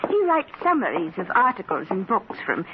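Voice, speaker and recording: A woman's voice: wildly swooping pitch, moderate pace. An actress playing a sly elderly woman who sweetly coaxes a visitor and poisons him, in an old radio drama. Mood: sweetly coaxing. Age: older. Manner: coaxing and insistent, turns shrill when calling out. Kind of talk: 1950s radio drama acting. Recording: muffled old radio broadcast with hiss.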